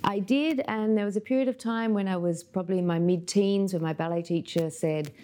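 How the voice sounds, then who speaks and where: A woman in her thirties from Australia, a yoga teacher telling her life story as a podcast guest in a thoughtful, unhurried way. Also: mid-range voice, warm tone, a little hiss or hum. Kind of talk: reflective storytelling interview.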